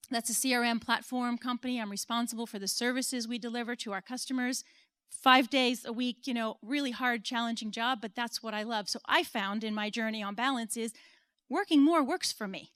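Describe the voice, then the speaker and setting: A woman's voice: on the high side, fairly quick, a bit bright, smooth, no room sound, clean studio sound. An American woman in her forties giving an earnest, personal conference talk, now and then laughing at herself. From United States. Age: 40s. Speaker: conference speaker. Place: stage at an event.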